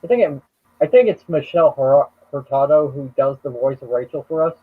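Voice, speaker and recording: Stream host, man, a bit high, husky, hesitant; phone-line quality. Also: animated, brisk. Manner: hesitant, trails off mid-sentence. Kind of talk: casual chat. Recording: muffled phone-like call audio.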